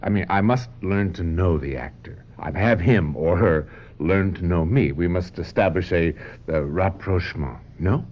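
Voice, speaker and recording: An actor in a radio drama playing a suave, domineering film director who persuades with repetitions and little tag questions. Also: male voice, deep voice, normal speed, hardly any pauses, natural voice. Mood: smooth, insistent. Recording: dull, noisy old radio recording.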